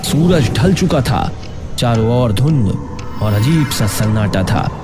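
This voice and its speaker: A man's voice: mid-range voice, expressive, takes it slowly. A man narrating a children's story in a dramatic, scripted storytelling style. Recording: music playing behind the voice. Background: clear background music.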